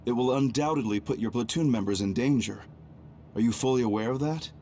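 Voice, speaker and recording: An actor playing a stern, calm military commander and scheming reformer in an English game dub, speaking formally and deliberately. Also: male voice, medium pitch, a little hiss or hum, natural voice. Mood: firm, official. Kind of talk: English-dubbed video game cutscene acting. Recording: music behind the voice.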